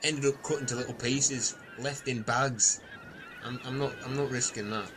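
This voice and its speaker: A man's voice: medium pitch, steady tone, normal speed, background noise, close to an everyday voice. A man playing a grumpy, sweary, rambling castaway in an amateur comedy audio drama.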